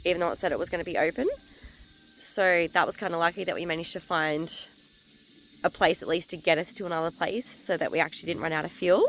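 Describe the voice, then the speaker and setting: An Australian woman talks casually to camera at a campsite, chatty and frank as she rambles through the day's mishaps. Her voice sits fairly low with a gravelly, fried edge, and she is losing it to illness. Crickets and insects buzz clearly behind her on noisy outdoor camera audio. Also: a little fast. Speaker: travel vlogger.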